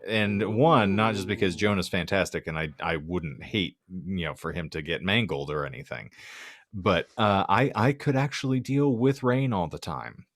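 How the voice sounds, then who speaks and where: A man's voice: sits low, normal speed, fairly bright tone, no echo. A man co-hosting a casual comedy podcast, talking in a wry, wordy and irreverent way. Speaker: podcast co-host.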